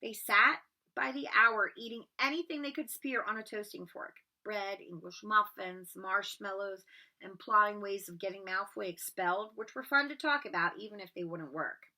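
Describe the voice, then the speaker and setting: A woman narrating a storybook aloud in an expressive read-aloud style. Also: medium pitch, moderate pace, rough recording.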